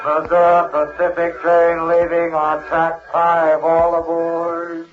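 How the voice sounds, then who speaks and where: Slow, monotone train announcer. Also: male voice, on the high side. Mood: droning, chanting. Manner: slow, drawn-out monotone chant. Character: male railroad announcer calling out station names. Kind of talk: sing-song 1940s train calling. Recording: tinny vintage radio recording with music.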